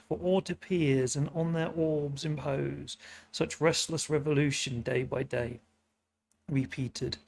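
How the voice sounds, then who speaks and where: An earnest, wondering first man questions the heavens in solemn verse, voiced by a British man reading aloud. The voice is gravelly, hoarse and stuffy, and the delivery is slow and measured. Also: on the high side, darkish tone. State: sniffly and hoarse.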